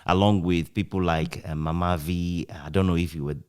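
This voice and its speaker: A Ghanaian man with a low-pitched voice talks in a relaxed interview setting. He looks back thoughtfully, pausing as he goes and restating phrases to stress them. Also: smooth. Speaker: interviewer, author reminiscing with former colleague. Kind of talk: conversational, reminiscing interview.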